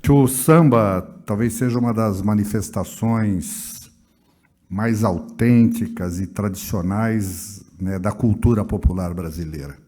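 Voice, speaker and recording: A man's voice: on the low side, slow, dark tone. A man with a Brazilian accent, council president, giving an explanatory speech at a ceremony.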